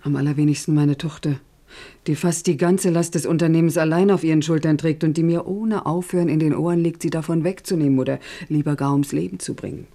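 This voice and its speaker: A woman's voice: low-pitched, steady tone, fast, mellow. An actress in a German radio play playing a middle-aged, needy mother who runs a discreet brothel, deferential and hesitant. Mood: plaintive.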